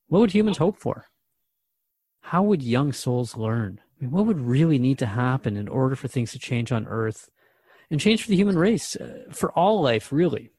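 A man's voice: medium pitch, vocal fry, a little mellow, breathy edge, no room sound. A man playing an earnest radio host in a radio drama, talking in a thoughtful, hesitant, conversational way. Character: Jeff, earnest radio host questioning a spirit.

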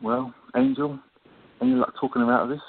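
A man's voice: mid-range voice, big pitch swings, brisk, creaky, husky, heavily acted. A man playing a joking, boyish friend who is awkward and nervous in love, in an amateur radio play. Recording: thin phone-like line.

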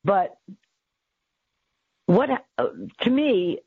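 Husky low-voiced woman in her seventies. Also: animated, drawn-out words, dry recording. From New York City. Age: 70s. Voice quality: low, bassy voice. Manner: rambling, with many fillers and frequent laughs. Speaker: guest, radio network founder. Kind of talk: casual interview over the phone. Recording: tinny phone line, dry.

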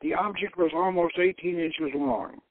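Male radio host reading his adventure story, notably high voice, measured phrasing, thin telephone-grade audio with no room sound. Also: no room sound. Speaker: radio show host and author. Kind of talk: scripted announcement and dramatic reading of a novel. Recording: tinny phone-line radio broadcast.

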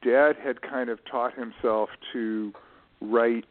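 A middle-aged man answering as an interview guest, reflective and measured, choosing precise words with pauses. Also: mid-range voice, fairly even intonation. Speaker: interview guest, writer and publishing professional. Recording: narrow, muffled phone-like audio.

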